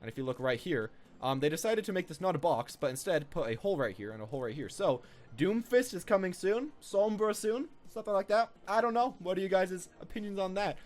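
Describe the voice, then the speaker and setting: A man's voice: high voice, expressive, a little fast, a little mellow. A man giving casual, off-the-cuff gaming commentary as a video host, rambling and repeating his own phrases.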